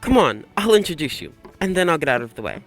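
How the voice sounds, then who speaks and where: A man's voice: fairly high pitch, very animated, fast talker, faint music behind. A man playing a jealous, hot-tempered young aristocrat in a radio play, blunt and irritable. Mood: irritated.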